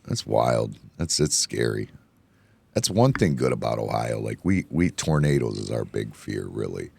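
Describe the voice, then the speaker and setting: A hoarse man hosting a casual bar-talk show, rambling and excitable, often repeating himself. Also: very deep voice, moderate pace, no echo. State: hoarse from yelling. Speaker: podcast host. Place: indoor room, bar setting.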